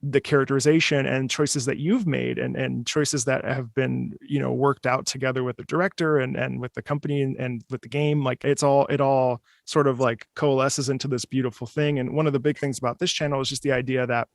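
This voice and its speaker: A man's voice: mid-range voice, steady tone, fairly quick, gravelly, few pauses, dry recording, clean studio sound. A man hosting a casual chat, talking enthusiastically in a rambling way. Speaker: host.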